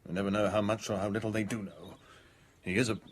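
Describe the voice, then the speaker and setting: A man's voice: on the low side, steady tone, quick pace, a little hiss or hum, natural voice. A British man playing an anxious, earnest lover of a murdered man's wife in a radio drama. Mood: wary.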